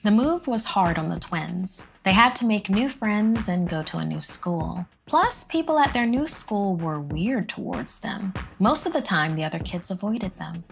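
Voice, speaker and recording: A woman storyteller giving a dramatic scripted horror narration, with suspenseful phrasing and pauses. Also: medium pitch, lively intonation, creaky, slight background noise.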